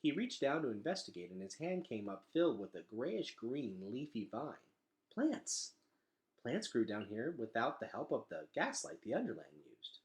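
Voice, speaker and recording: A man reading a children's novel aloud in an expressive, dramatic storytelling style. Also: mid-range voice, moderate pace. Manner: animated, dramatic delivery.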